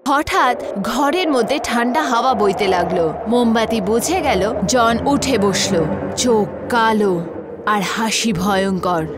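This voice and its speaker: High-pitched woman narrating horror. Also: slow, heavy background noise. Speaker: story narrator. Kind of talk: dramatic scripted horror narration.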